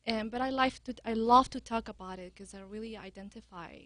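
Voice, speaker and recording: A woman from Peru with a Peruvian Spanish accent, talking earnestly as a yoga studio director, with many halting restarts. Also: a little high, a bit bright. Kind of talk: casual interview chat.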